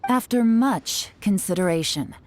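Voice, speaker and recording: An actress playing a poised, cunning intelligence agent in a video game, coolly in command. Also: female voice, medium pitch, lively intonation, unhurried, darkish tone, lightly acted. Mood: formal, composed.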